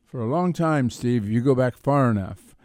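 A man's voice: mid-range voice, lively intonation, normal speed, a little mellow, no room sound, clean studio sound. An older man with an American accent talking sports casually, in a rambling, reflective way. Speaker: sports columnist and radio co-host.

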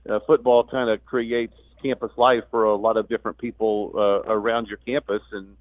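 A man talking as a guest sportscaster on a sports talk radio show, explaining things in a halting way with repeated words. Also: fairly low pitch, flat delivery, no echo. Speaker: radio play-by-play announcer as guest. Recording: thin phone-like line.

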